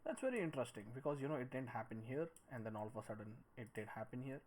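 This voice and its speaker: A man in his thirties giving a screen-recorded tutorial, thinking aloud in a halting, musing way as he works. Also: mid-range voice, expressive, darkish tone. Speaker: 3D modelling tutorial presenter. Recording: noisy room with clicks and typing.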